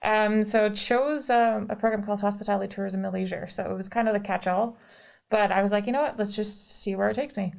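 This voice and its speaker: A woman's voice: mid-range voice, fairly even intonation, brisk, gravelly. A woman in her thirties from Toronto, a hospitality worker and guest, chatting in a bubbly way with playful quips. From Toronto, Canada. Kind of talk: casual studio chat. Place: studio.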